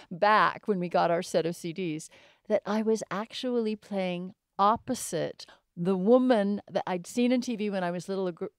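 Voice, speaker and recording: A woman's voice: lowish voice, a little mellow, clear, resonant tone, dry recording, studio quality. A woman actor talking casually in an interview, telling anecdotes in a reflective, conversational way.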